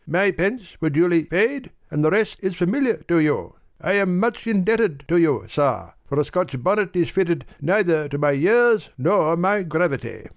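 A man playing a pompous, wordy, courteous gentleman in a dramatised audiobook. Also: high-pitched, lively intonation, a little slow, hardly any pauses, no room sound, clean studio sound. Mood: solemn, courteous. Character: pompous, grateful middle-aged gentleman, Mr Baker.

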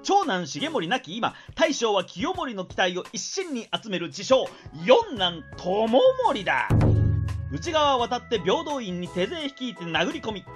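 An actor playing a boastful young ex-bandit samurai with an eloquent, rough-tongued, theatrical delivery in a radio drama. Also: male voice, high voice, sing-song, measured pace, a bit bright, faint music behind, exaggerated voice. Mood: dramatic narration. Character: boastful, glib 25-year-old ex-bandit samurai.

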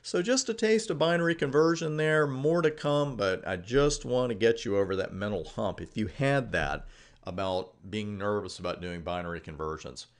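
Low-pitched man teaching a technical course. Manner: conversational, addresses the learner directly, stresses key points. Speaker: instructor of a computer networking course. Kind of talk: informal narrated slide lecture.

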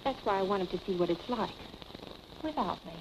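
Actress as a scheming forties femme fatale, hissy soundtrack. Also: female voice, medium pitch, expressive, a little fast, dull audio, close to an everyday voice. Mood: calculating. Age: young adult. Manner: cool, coaxing, condescending. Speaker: film actor. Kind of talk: 1940s film acting. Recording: noisy vintage film soundtrack.

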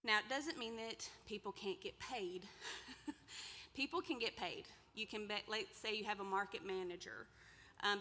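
A woman's voice: medium pitch, pushed and bright. A woman in her thirties giving a conversational workshop lecture from a podium, asking the audience questions now and then. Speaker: extension presenter. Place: large lecture room indoors. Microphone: podium mic, far camera. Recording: reverberant hall.

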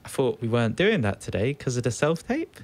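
Lively-pitched, wry young man. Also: fairly quick. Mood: weary, wry. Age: young adult. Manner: placating, dryly sarcastic. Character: weary, reassuring young flatmate with dry sarcasm. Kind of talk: naturalistic audio drama acting.

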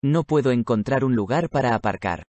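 A man from Spain reading short practice sentences aloud for a language lesson, careful and expressive. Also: mid-range voice, fairly quick, dry recording.

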